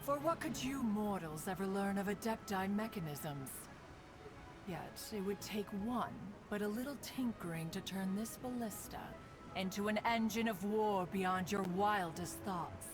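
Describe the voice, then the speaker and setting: A woman's voice: mid-range voice, expressive, unhurried, natural voice. A woman voicing a haughty, ancient immortal guardian with lofty, archaic speech in an anime game. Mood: proud. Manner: lofty, archaic, calls self "one". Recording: music behind the voice.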